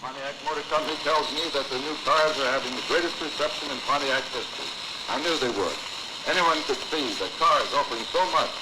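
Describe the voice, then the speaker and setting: A man's voice: medium pitch, a little fast, lightly acted. A man announcing a vintage 1930s radio advertisement. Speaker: commercial announcer. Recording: old commercial with very loud background noise.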